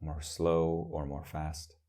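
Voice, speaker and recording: A man leading a guided meditation as a teacher, speaking in gentle, measured phrases with long pauses. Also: very deep voice, flat delivery.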